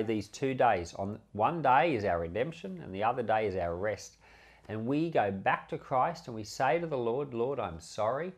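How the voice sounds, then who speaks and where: A male pastor with a mid-pitched voice explains scripture in an informal, conversational way. His intonation moves up and down freely for emphasis. He repeats key phrases and poses questions as he teaches. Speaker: pastor giving Bible commentary on a podcast.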